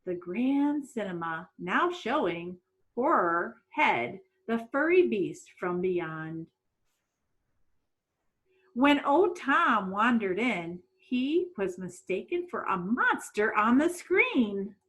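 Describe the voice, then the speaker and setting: Female children's storybook reader. Bright tone, unhurried, dramatic, deliberate delivery. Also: medium pitch, very animated. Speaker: children's picture-book reader. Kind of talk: expressive storybook read-aloud.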